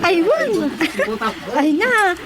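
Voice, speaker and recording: A woman playing a cheerful, excitable young bride in a radio comedy, with an Ilocano accent. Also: very high voice, very slow, over a noisy crowd, full character voice. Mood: eager, welcoming. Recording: very noisy scene with ambience.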